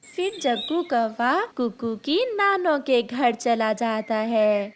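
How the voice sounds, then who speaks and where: Casting: female storyteller for kids' tales, high-pitched voice, slow scripted delivery. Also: a little hiss or hum. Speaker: narrator. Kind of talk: scripted children's story narration.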